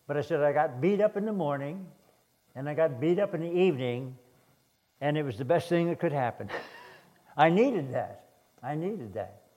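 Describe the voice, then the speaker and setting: An older man preaches in a hoarse voice, often stumbling and restarting mid-sentence. His delivery is plain and unhurried as he reads scripture and comments on it. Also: a little high, big pitch swings, darkish tone. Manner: halting, many restarts and fillers. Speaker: guest preacher. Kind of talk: sermon.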